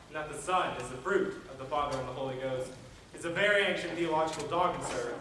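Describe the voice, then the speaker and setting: A man's voice: on the high side, natural voice. A young man playing a learned, assured doctor, declaiming formally in a school stage play. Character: learned, assured male doctor giving testimony. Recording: distant echoey school auditorium, noisy.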